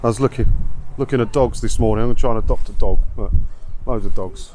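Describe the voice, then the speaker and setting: A man's voice: lowish voice, fairly quick, mellow. A man with an English accent giving a casual, rambling, self-deprecating and grumbling walk-and-talk vlog. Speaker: video blogger, host. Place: outdoors, walking along a windy seaside town street. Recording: windy outdoor street noise. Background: wind, street and vehicle noise.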